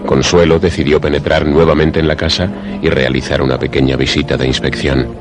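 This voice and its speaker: A man narrating a suspense radio drama in a measured, literary storytelling manner. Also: a bass voice, flat delivery. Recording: prominent music behind the voice. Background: music.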